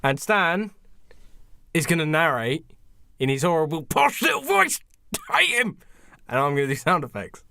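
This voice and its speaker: A man co-hosting a podcast in animated, joking casual chat, with a playful petulant streak. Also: fairly high pitch, moderate pace, vocal fry. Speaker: podcast co-host.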